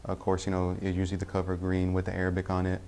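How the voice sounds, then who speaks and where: A young man from Tennessee speaks with a very low voice. He tells his personal story unscripted, pausing often and correcting himself mid-sentence. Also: monotone, a little mellow. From Tennessee, US Southern. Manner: halting, self-correcting with many "uh" pauses. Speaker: convert telling his story. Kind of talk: personal testimony.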